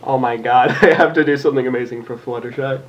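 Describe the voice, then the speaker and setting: A man's voice: mid-range voice, fairly even intonation, fast talker, lo-fi sound. A man doing an informal comic read-aloud, giggly and often stumbling over the text. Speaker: fan fiction co-reader.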